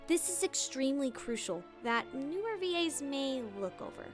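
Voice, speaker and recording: Casting: female tutorial host, high-pitched, playful and theatrical, lively swings in intonation. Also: moderate pace, music in the background. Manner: animated, theatrical emphasis. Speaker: voice actor and video host. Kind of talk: scripted, playful tutorial narration.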